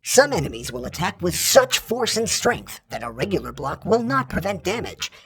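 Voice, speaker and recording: An actor voicing an exacting combat training droid in a video game, formal and impassive, with a gravelly, husky robotic voice. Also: male voice, high-pitched, sing-song, normal speed, fairly bright tone, full character voice. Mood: formal, instructive. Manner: formal, impassive, precise, instructional. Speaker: combat instructor. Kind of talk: scripted video game tutorial lines.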